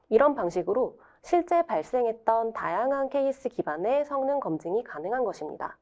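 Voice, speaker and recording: A woman's voice: on the high side, a little mellow. Woman presenting a webinar, slightly dull audio. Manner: explanatory, formal and polite. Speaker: presenter explaining a software product.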